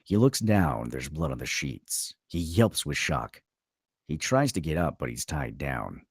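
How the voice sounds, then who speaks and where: A man with an extremely deep voice narrates screenplay stage directions. His delivery is dramatic, with pitch that swings widely, and the sound is dry and close. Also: big pitch swings, unhurried, husky, no echo.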